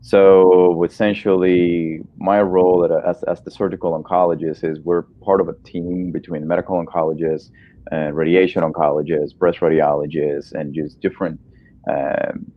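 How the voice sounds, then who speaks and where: A man's voice: very deep voice, muffled recording. A man in his thirties from El Salvador, a native Spanish speaker, answering interview questions in a casual, friendly, informal way. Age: 30s. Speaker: guest, breast cancer surgeon.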